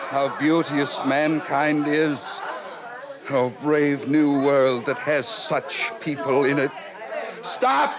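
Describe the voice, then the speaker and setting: An actor playing an anguished, idealistic young savage in a declamatory style of fifties radio drama. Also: male voice, fairly high pitch, slow pace, crowd noise. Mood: impassioned, defiant. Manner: theatrical, declamatory. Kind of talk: 1950s radio drama acting. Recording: noisy vintage radio recording.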